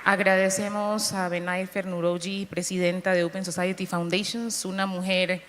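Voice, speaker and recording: A woman's voice: on the low side, level tone, fairly quick, a faint crowd. A woman moderating a panel in a polite, formal manner.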